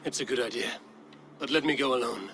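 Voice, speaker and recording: A man's voice: medium pitch, brisk, a little hiss or hum, natural voice. A man voicing a resolute Viking leader. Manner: steady, earnest, commanding. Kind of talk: video game cutscene acting.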